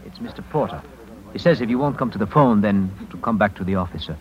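An actor playing a deferential, apologetic restaurant keeper in a 1950s radio drama. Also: male voice, lowish voice, expressive, fast talker, a little hiss or hum, close to an everyday voice. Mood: polite, apologetic. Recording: dull, lo-fi 1950s radio broadcast.

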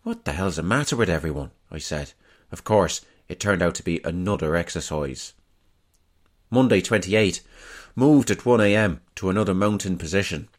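An actor playing a young British soldier reading from his wartime diary in a measured, reflective way. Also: low voice, lively intonation. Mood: dry, wry.

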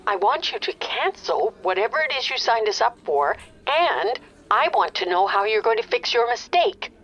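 A woman's voice: on the high side, big pitch swings, moderate pace, fairly bright tone, faint music behind. An actress playing an anxious, aggrieved middle-aged mother, an insistent customer calling in a radio comedy. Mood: exasperated. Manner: insistent, exasperated. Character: anxious, aggrieved mother complaining about her phone bill. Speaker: actor in an audio comedy. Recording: dull telephone-line sound.

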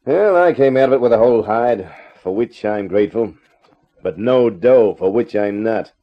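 An actor playing a wry, hard-boiled private detective in a 1950s radio drama, husky and slangy. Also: male voice, on the low side, moderate pace, lightly acted. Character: wisecracking, hard-boiled male private detective, weary of romance. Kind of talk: 1950s radio detective drama acting and first-person narration. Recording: dull vintage radio drama recording.

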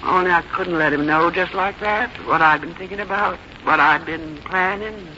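A woman's voice: on the low side, normal speed, natural voice. An actress playing a plain-spoken, strong-willed mother in her seventies in a 1950s radio drama, with a folksy, firm way of talking. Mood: fond reminiscing. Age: 70s. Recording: dull, noisy old radio broadcast recording.

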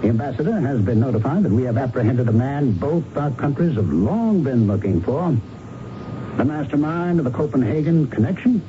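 An actor as a smug, confident investigator, muffled recording. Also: male voice, mid-range voice, expressive, moderate pace, natural voice. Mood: satisfied, formal. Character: confident male American investigator confronting a captured criminal. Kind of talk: radio drama acting. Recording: muffled, noisy vintage radio broadcast.

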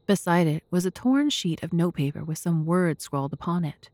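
A woman's voice: fairly low pitch, animated, fairly quick, a little mellow, no echo. A woman narrating in a scripted, audiobook style as the host of an audio drama.

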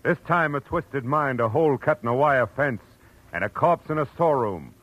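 An actor playing a wry, tough private detective in a 1940s radio drama, terse and clipped. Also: male voice, mid-range voice, lively intonation, normal speed, natural voice. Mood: grim, dramatic. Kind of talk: 1940s radio acting, first-person noir narration. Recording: dull old radio recording, music behind.

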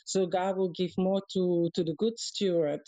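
A woman with a Dutch accent, Dutch her first language, calling in as a guest and speaking haltingly with many fillers and restarts. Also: fairly low pitch, fairly even intonation, mellow, no echo. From Europe. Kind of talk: casual talk on a live audio show. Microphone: calling in remotely.

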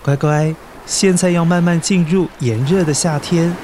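A man's voice: on the high side, steady tone, moderate pace, mellow, slight background noise. A man with a Taiwanese Mandarin accent hosting a children's story podcast, explaining things simply and coaxingly to young listeners.

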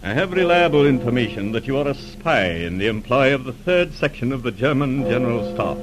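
An actor playing a stern senior police interrogator in a 1940s radio drama, formal, clipped and authoritative. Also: male voice, lively intonation, fairly quick, music in the background, lightly acted. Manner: clipped, authoritative, low-keyed. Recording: noisy old radio recording.

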